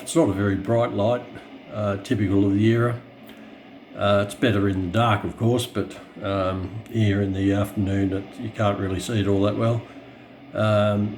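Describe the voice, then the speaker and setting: An Australian man with a fairly low voice talks casually through a hands-on demonstration. He is hesitant, pausing often as he finds his words. There is some light background noise in the room. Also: darkish tone. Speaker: radio hobbyist presenter. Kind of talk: casual unscripted product walkthrough. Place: indoors.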